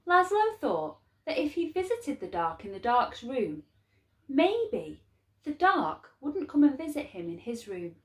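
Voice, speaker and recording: Slow-paced woman reading a children's story. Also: high-pitched, echoey room. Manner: measured, expressive, clearly phrased for children. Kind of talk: storytime read-aloud for young children.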